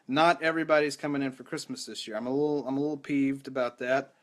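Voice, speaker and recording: A young man runs a casual live podcast stream from home into a studio microphone. His voice is hoarse and rattly, and he talks in loose, rambling runs full of restarts and self-deprecating asides, sitting at an ordinary middle pitch for a man. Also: fairly even intonation, fast. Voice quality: rattly, strained voice. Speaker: podcast host. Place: home room with mic.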